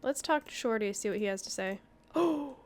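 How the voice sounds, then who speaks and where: A woman's voice: fairly high pitch, expressive, moderate pace, a little hiss or hum. A woman giving casual, chatty playthrough commentary as a gaming host, reacting aloud with interjections.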